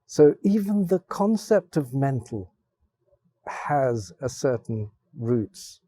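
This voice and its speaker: A man speaks on a roundtable panel, his voice mellow and dark. He explains ideas thoughtfully, pausing and restarting his phrases as he goes. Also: mid-range voice, big pitch swings, slightly rough, dry recording, studio quality. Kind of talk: roundtable discussion. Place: theater stage panel.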